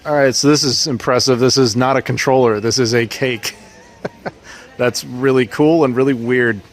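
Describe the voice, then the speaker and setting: A man from North America hosting a casual travel vlog, chatty and jokey, talking excitedly about food and games. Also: mid-range voice, moderate pace, slight background noise. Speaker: vlog host.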